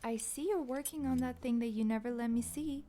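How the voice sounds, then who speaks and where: A woman's voice: a little high, brisk, dark tone, slight background noise, lightly acted. A woman playing a chatty, curious, supportive young student friend in a comic dub. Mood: curious, teasing. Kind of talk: dramatic comic dub acting.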